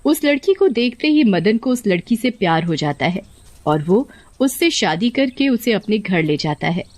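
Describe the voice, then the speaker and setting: A woman's voice: mid-range voice, sing-song, moderate pace, darkish tone. A woman narrator reading a scripted children's story.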